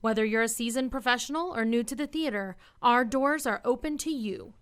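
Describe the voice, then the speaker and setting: Female spokesperson, clear resonant voice, higher pitch, scripted announcement. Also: fairly quick. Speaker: theater company spokeswoman.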